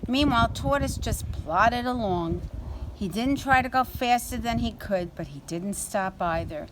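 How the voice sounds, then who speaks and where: A woman reading a children's story aloud as a storyteller, in an expressive, deliberate way. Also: fairly high pitch, noisy background.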